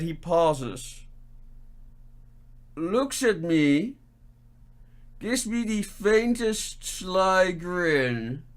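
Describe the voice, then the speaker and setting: A man telling a comic story as a show host, with theatrical delivery and drawn-out emphasis. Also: a little high.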